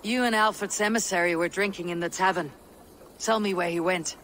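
An actor playing a stern, menacing Viking warrior leader in a video game, terse and commanding. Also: male voice, sits high, fairly even intonation, brisk, fairly bright tone, slight background noise, poor audio.

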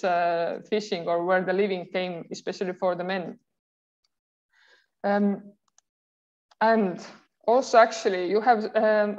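Estonian-accented woman lecturing over a video call, lots of uhs and ums, mid-pitched, a bit muffled. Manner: hesitant, many filler sounds and restarts. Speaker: university lecturer. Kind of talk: online lecture.

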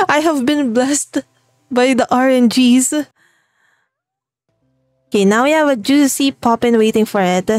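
Young filipino woman, upbeat game commentary, kinda high voice, laughs a lot. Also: expressive, takes it slowly, pauses often, dry recording, studio quality, soft background music. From Philippines. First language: Tagalog. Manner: cheerful and chatty, laughs often. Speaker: gaming channel host. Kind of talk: let's play commentary.

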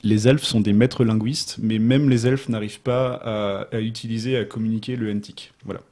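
A man's voice: on the low side, fairly even intonation, normal speed, darkish tone. A man chatting casually on a podcast, rambling and playful, with plenty of fillers. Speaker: podcast co-host. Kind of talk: casual chat.

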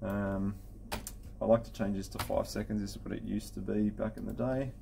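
A man's voice: fairly low pitch, little pitch movement, normal speed, gravelly, warm tone, slight background noise. A middle-aged man giving a casual, matter-of-fact, step-by-step technical tutorial as an installer at a computer. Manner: informal, matter-of-fact instructional talk. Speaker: intercom installer giving a software setup tutorial. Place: indoor office at a desk with computer.

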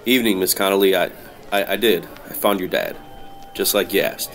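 An actor playing a world-weary, hard-boiled detective in an old-time radio noir drama, deadpan and measured. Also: male voice, low-pitched, soft background music. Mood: somber, gentle.

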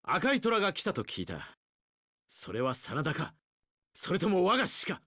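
An actor playing a resolute, sunny young samurai general in an action game, speaking in an earnest, heroic, declarative way. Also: male voice, high-pitched, wildly swooping pitch, normal speed, sharp tone, no room sound, clean studio sound, heavily acted. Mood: friendly, nostalgic. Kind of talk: anime-style action game voice acting.

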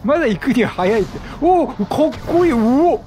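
A man giving casual, chatty game commentary, exclaiming and reacting out loud. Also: very high-pitched, sing-song, fairly quick, a little hiss or hum. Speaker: gaming commentator.

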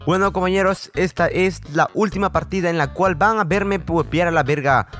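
A young man, giving casual, excitable gameplay commentary full of swearing and trolling banter. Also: sits high, fairly quick. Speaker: gaming video host.

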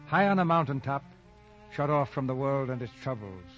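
A man's voice: medium pitch, animated, normal speed. A man narrating a radio play from a script in 1940s style. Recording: muffled 1940 radio recording, faint music.

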